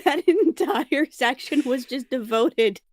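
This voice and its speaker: A woman's voice: high voice, expressive, slow pace. A woman co-host chatting casually, giving short reactions to a reading.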